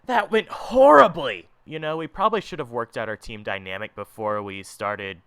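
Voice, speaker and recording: A man's voice: mid-range voice, normal speed, fairly bright tone, clear, resonant tone, dry recording, lightly acted. A man voicing an irritable, bossy cartoon villain leader in a grumbling, exasperated manner.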